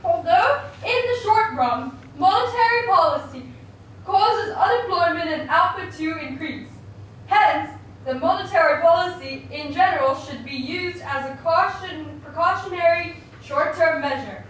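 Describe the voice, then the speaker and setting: A woman's voice: very high voice, lively intonation, a little slow, fairly bright tone, heavily acted. A woman voicing an opinionated male economist puppet in a scripted mock interview, lecturing emphatically and dismissively. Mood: polite, lecturing. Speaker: interview guest. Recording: very echoey room, distant mic, faint noise.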